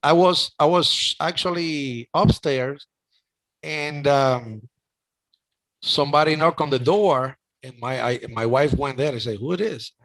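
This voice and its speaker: A man's voice: fairly high pitch, a little slow, fairly bright tone, poor audio. A middle-aged man with a Cuban accent hosting a casual chat, talking emotionally with many restarts. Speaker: host.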